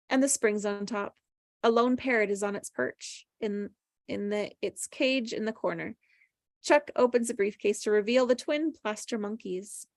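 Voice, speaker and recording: A woman narrates screenplay directions for a table read. Her voice sits at an average pitch, and the sound is dry with little room to it. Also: no echo.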